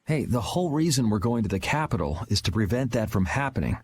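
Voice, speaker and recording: An actor playing a calm, self-assured young swordsman in a video game, speaking bluntly. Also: fairly low pitch, fast, slight background noise. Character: young male swordsman, cool and resolute.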